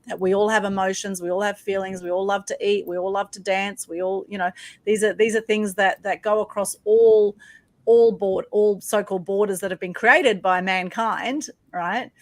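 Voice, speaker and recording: A woman's voice: medium pitch, steady tone, moderate pace, no room sound. A woman hosting a conversational interview, talking in an effusive, affirming way. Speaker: interviewer, show host.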